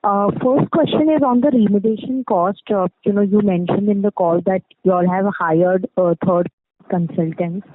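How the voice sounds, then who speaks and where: A woman's voice: medium pitch, moderate pace, a little hiss or hum. Indian woman on a tinny phone line. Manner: hesitant, rambling phrasing with frequent "you know". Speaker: analyst asking questions on an earnings call. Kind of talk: spontaneous business questioning. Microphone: telephone handset.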